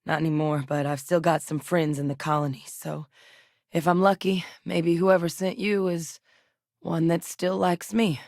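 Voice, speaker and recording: A woman playing a seasoned ex-military scientist in a video game, steady and earnest, with a measured, serious delivery. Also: fairly low pitch, dry recording, clean studio sound, close to an everyday voice. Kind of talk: video game character voice lines.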